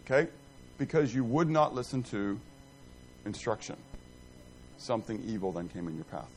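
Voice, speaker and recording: A middle-aged man teaching a Bible class in a rambling, self-deprecating, animated way, quizzing and joking with his group. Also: medium pitch, normal speed, a little hiss or hum, rough recording.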